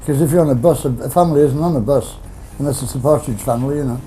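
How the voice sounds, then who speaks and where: A man's voice: medium pitch, very fast, poor audio. A man in a band, chatting casually and joking, rambling with lots of "you know". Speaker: band member. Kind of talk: casual interview chat. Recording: music playing underneath.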